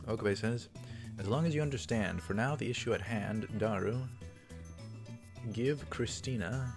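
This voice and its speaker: A man with a low, gravelly voice reads a visual novel aloud as a let's play host. He drops casual commentary between the lines, with music clearly playing behind him. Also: measured pace.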